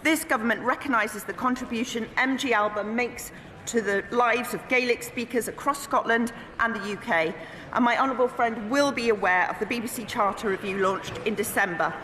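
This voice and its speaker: Welsh lady minister, formal steady answers, highish voice, big echoey hall. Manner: measured, formal parliamentary delivery. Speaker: government minister. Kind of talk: parliamentary answer. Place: large debating chamber. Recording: echoey chamber with murmuring crowd.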